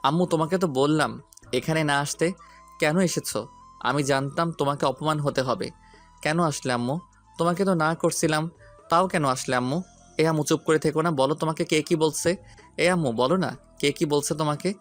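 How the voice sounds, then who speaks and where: A man's voice: a little high, normal speed, natural voice. A man plays an impulsive young man in an audio story. He pleads and challenges with stubborn insistence, fiercely protective, over background music. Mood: anxious, pleading. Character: hot-headed young man, protective son and fiance.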